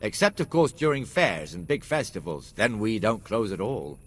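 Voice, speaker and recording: An actor playing a gruff, talkative medieval town guard in a video game, plain-spoken and bossy. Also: male voice, on the low side, very animated, normal speed. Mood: businesslike. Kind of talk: video game dialogue acting.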